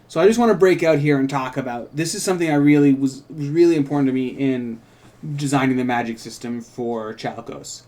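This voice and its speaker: A man's voice: medium pitch, a little fast, dark tone. A man co-hosting a script reading, talking casually and thinking aloud in loose, rambling sentences. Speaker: co-writer and host. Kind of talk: casual creative discussion and script narration.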